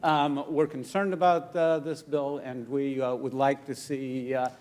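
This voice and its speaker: A man from California, a state environmental official, giving a press statement in a halting way with many fillers. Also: medium pitch, level tone, a little mellow.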